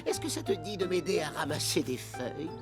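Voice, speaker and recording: A performer voicing a kindly, caring neighbour in a children's cartoon, speaking gently and with concern. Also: medium pitch, lively intonation, brisk, loud music behind the voice, natural voice. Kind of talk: French children's cartoon acting.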